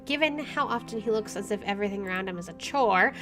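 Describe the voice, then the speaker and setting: A woman's voice: mid-range voice, quick pace. A woman playing a game, reading its narration aloud in a casual, reactive way and adding offhand asides. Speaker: let's player. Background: faint game music.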